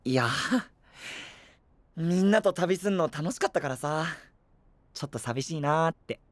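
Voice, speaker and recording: An actor playing an eager, boyish young knight in an anime voice drama, cheerful and casual in manner. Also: male voice, a little high, normal speed, no room sound, natural voice. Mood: wistful. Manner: excitable, casual, rustic, boyish.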